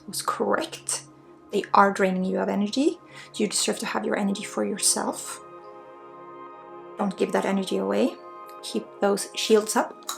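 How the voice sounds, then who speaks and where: A woman from Sweden with a Swedish accent speaks as a spiritual healer in an intimate ASMR energy healing style, drifting between soft speech and breathy whispers. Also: medium pitch.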